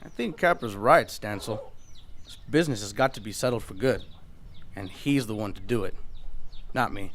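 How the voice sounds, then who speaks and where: A man playing a steady, stern frontier marshal in a western radio drama. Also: on the low side, normal speed, long pauses, a little hiss or hum. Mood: calm, measured. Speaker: actor in a radio theater.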